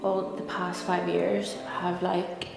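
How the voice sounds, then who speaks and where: Belfast woman with a creaky, lowish voice. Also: little pitch movement, slow pace. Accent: Belfast Northern Irish. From Belfast, Northern Ireland. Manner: conversational, frequent "like" and "you know". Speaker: interview guest, lawyer and content creator. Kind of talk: candid personal interview. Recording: echoey reverberant room, clear music behind. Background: music.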